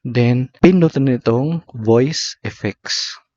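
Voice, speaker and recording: A man's voice: mid-range voice, takes it slowly, darkish tone. A man with a Filipino accent gives step-by-step app tutorial narration in short, instructional phrases. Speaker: tutorial host. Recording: slightly dull lo-fi voice recording.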